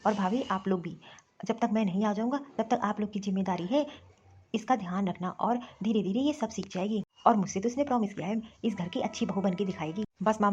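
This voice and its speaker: A woman's voice: medium pitch, dark tone, slight background noise, lightly acted. A woman playing a protective young husband in a Hindi family cartoon, reasoning earnestly and insistently. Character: protective young husband, placating his mother. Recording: dull, lo-fi recording.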